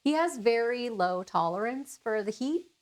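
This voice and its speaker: American woman in relaxed casual chat. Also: on the high side, moderate pace. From Minnesota, United States. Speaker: cooking channel host. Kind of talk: casual chat answering viewer questions.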